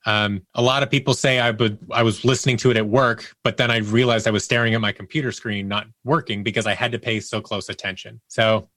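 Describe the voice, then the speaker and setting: A man's voice: lowish voice, steady tone, a little fast, hardly any pauses, fairly bright tone, no room sound. Plainspoken man, dry close sound. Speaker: podcast guest, audio drama creator and voice actor. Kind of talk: casual interview talk.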